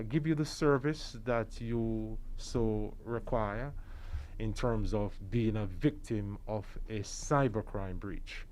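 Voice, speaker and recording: Male Jamaican police officer, dark mellow tone, deliberate formal explanations. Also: medium pitch, clean tone.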